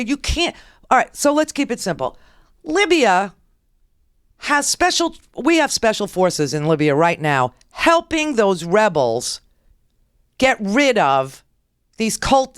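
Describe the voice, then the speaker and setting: A woman's voice: medium pitch, dry recording, clean studio sound. A woman hosting a political talk radio show, lecturing her listeners with brash sarcasm.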